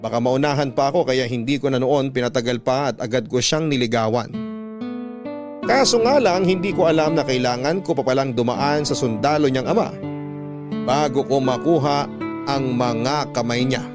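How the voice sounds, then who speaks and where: A man's voice: mid-range voice, normal speed, natural voice. A man narrating a scripted first-person radio drama as a sincere letter writer looking back on youth, with a Filipino accent. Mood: reflective. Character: sincere adult man recounting his youthful love story. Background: plucked guitar music.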